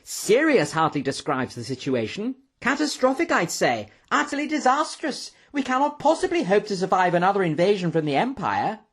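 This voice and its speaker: A man voicing an excitable, pompous professor in a storybook reading, with a patronising, melodramatic manner. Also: sits high, normal speed, hardly any pauses, slightly rough, pitched above the performer's own voice, full character voice. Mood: alarmed, melodramatic.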